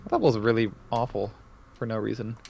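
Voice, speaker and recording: A man's voice: fairly low pitch, steady tone, fairly quick, vocal fry, a little mellow, a little hiss or hum. A man chatting casually and with joking sarcasm as he plays a co-op speedrun, keyboard clicking behind him. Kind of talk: casual chat while gaming. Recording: faint keyboard clatter and clicks.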